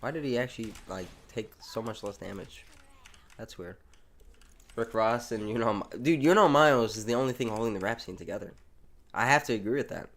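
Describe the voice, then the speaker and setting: A young man from the United States hosts a live game stream, talking in a loose, slangy ramble full of restarts as he answers chat. His voice sits a little low, and keyboard typing can be heard behind him. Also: brisk, a bit bright, a little hiss or hum. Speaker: livestreamer. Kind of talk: casual live gameplay chat.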